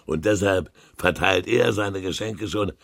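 A man playing a gloomy, slow-spoken Father Frost in a children's audio play. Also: low-pitched, vocal fry, fairly bright tone, no echo, clean studio sound, exaggerated voice. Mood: suspicious. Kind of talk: children's audio drama acting.

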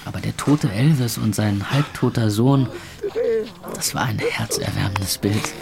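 A man's voice: lowish voice, measured pace, vocal fry. An actor playing a gloomy, sardonic club owner in his twenties, narrating a radio drama in the first person. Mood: wry. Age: 20s. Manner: wry, sardonic. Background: music.

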